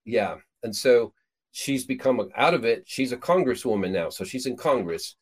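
A man's voice: medium pitch, lively intonation, moderate pace, slightly rough. A middle-aged man raised in Peru, hosting a casual talk show about his ministry trip, rambling through many restarts and fillers. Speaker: prophetic minister and show host. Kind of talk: casual chat.

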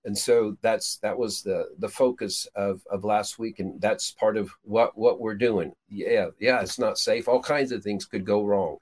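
A man's voice: fairly low pitch, fairly even intonation, measured pace, hardly any pauses. A middle-aged man raised in Peru, hosting a casual talk show about his ministry trip, rambling through many restarts and fillers. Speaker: prophetic minister and show host. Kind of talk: casual chat.

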